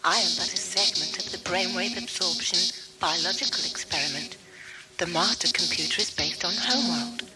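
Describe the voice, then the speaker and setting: A man's voice: high-pitched, normal speed, low-quality recording. A man playing a coldly polite, megalomaniac ship's computer in a science fiction audio drama, speaking in a formal, grandiose way. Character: megalomaniac mind-draining ship's computer, calm and menacing. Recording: music under the voice.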